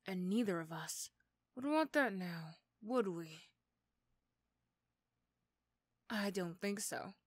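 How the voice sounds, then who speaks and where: A sardonic female supervillain, acted by a woman for an ASMR roleplay, recorded close and dry. She is condescending and teasing, mean on the surface with grudging sympathy underneath, in a medium-pitched voice. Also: animated, measured pace, no echo. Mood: menacing. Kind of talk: ASMR roleplay character acting.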